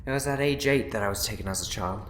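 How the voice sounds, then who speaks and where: A man's voice: lowish voice, fast talker, slight background noise, lightly acted. A man playing a smug, scheming time-travelling villain in an audio drama, speaking theatrically. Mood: grave storytelling. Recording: roomy echo, loud music behind.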